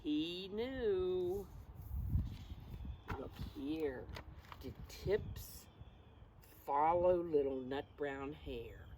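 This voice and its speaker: A woman's voice: low-pitched, expressive, mellow, slight background noise. A woman reading a picture book aloud to young children, explaining it in simple phrases and asking the listeners questions. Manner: slow, simple phrasing that addresses small children.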